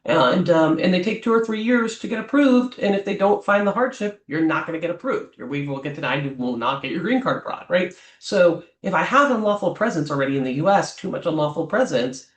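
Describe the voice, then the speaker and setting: A man speaking as an immigration lawyer, giving advice to clients off the cuff and often checking in with a quick "right?". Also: sits high, lively intonation. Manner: talks off the cuff, ends many points with a questioning "right?"; frequent "um" fillers and restarts. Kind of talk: explanatory advice talk to clients. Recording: roomy echo.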